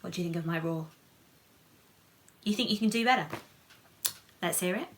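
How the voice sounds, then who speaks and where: A woman reading a picture book to children in an animated, playful way, with chatty asides and questions to her listeners. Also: on the low side, moderate pace, a little hiss or hum. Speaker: children's storybook reader.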